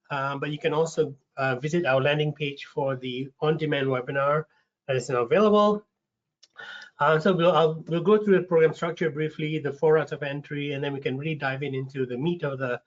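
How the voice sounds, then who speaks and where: A man with a slight rasp from a cold hosts an online info session, friendly, with lots of ums and restarts. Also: fairly high pitch. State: getting over a cold, a bit raspy. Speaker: webinar host, recruitment manager. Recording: dull lo-fi webinar audio.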